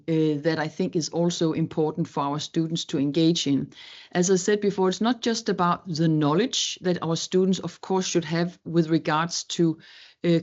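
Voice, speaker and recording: Woman on a webinar, lowish voice, reflective, kinda muffled call audio. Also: a little mellow. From Denmark. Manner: earnest, reflective, searching for words. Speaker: webinar panelist. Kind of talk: academic webinar talk.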